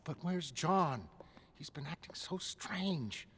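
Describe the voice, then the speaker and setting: A man voicing a shaken, blunt young woman in a motion comic, halting and emotional. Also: fairly high pitch, expressive, fairly bright tone, slightly rough, full character voice. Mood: shaken, pleading.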